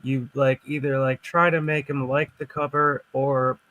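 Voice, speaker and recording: A man's voice: mid-range voice, little pitch movement, a little slow, a bit bright, muffled recording. A man who plays music, chatting eagerly on a group call and repeating himself as he talks. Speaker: musician on the panel. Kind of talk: casual group video-call chat.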